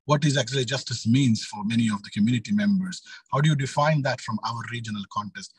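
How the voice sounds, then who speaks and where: A man in his thirties from the Middle East, speaking on an online panel in a halting way, repeating words as he searches for phrasing. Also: medium pitch, breathy edge, dry recording. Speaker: youth organization leader, panel speaker. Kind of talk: panel talk, semi-spontaneous explanation. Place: indoor room on a video call.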